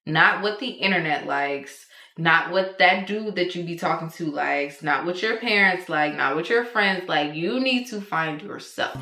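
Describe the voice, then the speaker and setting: Woman, 20s, vlogger; low and bright, candid advice chat, roomy echo. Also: a little slow. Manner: candid and animated, with slang and swearing. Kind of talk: casual big-sister advice talk. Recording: echoey room with faint music.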